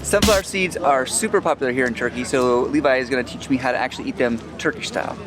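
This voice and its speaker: A man's voice: mid-range voice, quick pace, music in the background. A man hosting a travel vlog, chatting casually to the camera.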